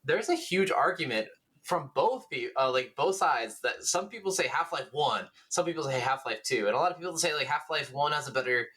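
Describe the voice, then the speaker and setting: A man's voice: a little high, expressive, fairly quick, a bit bright, husky. A man co-hosting a gaming podcast, talking in a casual, rambling, enthusiastic way with the odd swear word. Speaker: podcast co-host.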